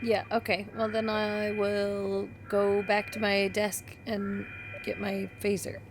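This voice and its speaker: A woman's voice: medium pitch, completely flat delivery, slow pace, over music. A woman making wry, casual remarks as a player in a tabletop roleplaying game. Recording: clearly noisy background.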